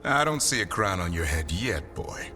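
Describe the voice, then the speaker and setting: Terse game warrior, low voice, dry and steady, clear music behind. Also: male voice, normal speed. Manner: terse, matter-of-fact. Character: steady, dry-humored male warrior monster hunter. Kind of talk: video game dialogue acting.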